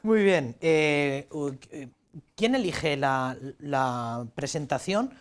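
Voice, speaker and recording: A man's voice: fairly high pitch, lively intonation, slow. A man hosting a conversational interview, formally polite and attentive to his guest. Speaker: programme host and interviewer.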